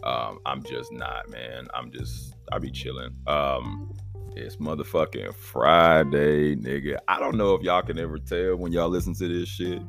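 A man with a very deep voice and an Oklahoma accent rambles through a casual solo podcast. He is profane and laid-back, in his thirties, and faint music plays behind him. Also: fairly even intonation, measured pace, vocal fry, a bit bright. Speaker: podcast host.

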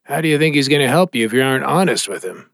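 A man's voice: mid-range voice, animated, very fast, gravelly, fairly bright tone, no room sound, studio quality. A man playing a hallucinated dead man who needles and mocks in a blunt, sardonic way in an audio drama. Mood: accusing. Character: taunting hallucinated dead man, mocking and controlling.

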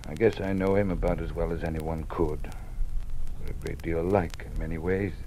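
An actor playing a weary older male college dean who lives in fear, speaking in a measured, quietly warning way in a 1950s radio drama. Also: low-pitched, fairly even intonation. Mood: confiding. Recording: old radio broadcast with faint hiss.

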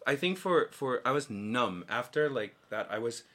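A man's voice: medium pitch, animated, normal speed, a bit bright. A man hosting a casual podcast chat, joking and teasing his guest, often laughing through his lines. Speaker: podcast host.

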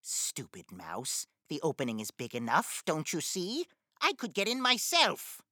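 A woman's voice: sits low, huge pitch swings, a little slow, sharp tone, slightly rough, dry recording, clean studio sound, pitched below the performer's own voice, heavily acted. A woman voicing a cruel, greedy old witch who scolds and sneers in a storybook reading. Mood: sneering.

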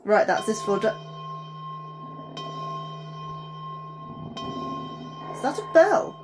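A woman's voice: fairly high pitch, sing-song, brisk, over music. A woman acting an anxious, tired astrobiologist in a sci-fi horror podcast, talking conversationally over a noisy ambience. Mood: alarmed. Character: anxious, tired female astrobiologist in a secret Antarctic facility.

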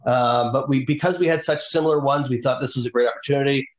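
A man's voice: mid-range voice, fast talker, roomy sound. A man co-hosting a casual wrestling podcast, rambling with lots of fillers and restarts. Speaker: podcast co-host. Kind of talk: casual chat.

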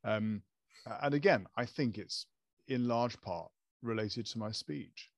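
A man's voice: lowish voice, slow, vocal fry, no room sound. A man who stammers, talking casually as a guest, with hesitant fillers and repeated words. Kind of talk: casual conversation.

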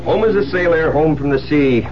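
An actor playing an easygoing, wry newlywed husband back from fishing, in 1970s radio drama style. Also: male voice, fairly high pitch, expressive, normal speed, over music, lightly acted. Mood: jovial. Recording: muffled, noisy 1970s radio recording.

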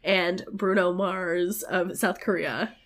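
A woman's voice: on the low side, fairly even intonation, slow. A woman co-hosting a podcast in casual chat, her voice nasal and congested from a cold, frank and playful. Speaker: podcast co-host. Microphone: home recording mic.

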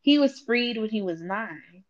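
A woman in her late twenties hosting a casual chat with her sister, animated and teasing, talking straight to her viewers. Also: a little high, a little slow, highs cut off. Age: 20s. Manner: animated, playful teasing, talks to viewers directly. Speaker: host.

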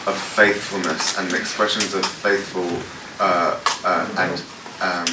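A man's voice: low voice, flat delivery, takes it slowly. A man leading a session at a church conference, speaking unscripted with many fillers and restarts. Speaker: worship leader leading a panel session. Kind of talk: unscripted talk answering questions. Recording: echoey room, distant mic, noisy.

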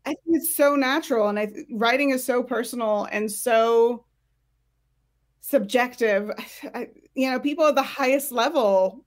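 High-voiced woman giving candid advice. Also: a little slow. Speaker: screenwriting career coach, panel guest. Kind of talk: conversational advice on a panel.

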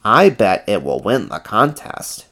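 A reader voicing a friendly, enthusiastic giant bird in a storybook, with a hearty, drawn-out delivery. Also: sits low, sing-song, fairly bright tone, heavily acted.

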